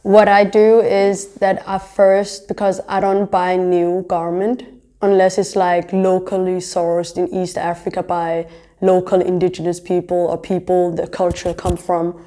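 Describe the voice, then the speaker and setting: Mellow, dark-voiced young woman from Denmark. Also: fairly low pitch, level tone, unhurried. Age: young adult. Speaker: interviewee, fashion designer and entrepreneur. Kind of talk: casual interview talk.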